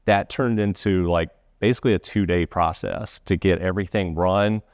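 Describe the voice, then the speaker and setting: A man with a low voice gives an informal account as a guest professional. He speaks haltingly, often rephrasing mid-sentence. The recording is dry and free of room sound. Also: steady tone, darkish tone, dry recording. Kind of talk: informal first-hand account.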